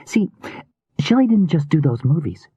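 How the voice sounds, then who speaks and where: A man's voice: fairly high pitch, very animated, moderate pace, mellow, slightly rough, no room sound, dull audio, voice pushed up, full character voice. A man voicing an inquisitive, quirky young woman with slangy, eager, casual speech in an audiobook. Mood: earnest. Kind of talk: audiobook character dialogue.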